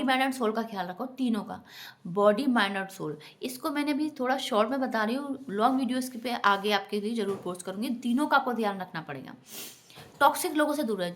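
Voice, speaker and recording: Higher-pitched woman giving motivational advice. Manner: earnest, instructive, often addresses listeners as friends. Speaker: self-help vlogger. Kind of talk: motivational talk to viewers.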